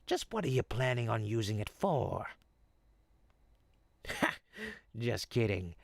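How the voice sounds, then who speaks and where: A performer voicing a sly, smooth-talking goblin potion peddler in an audio roleplay, with folksy, teasing salesman patter. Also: mid-range voice, sing-song, slow pace, no echo, heavily acted. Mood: teasing, wheedling. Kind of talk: audio roleplay, in-character monologue to listener.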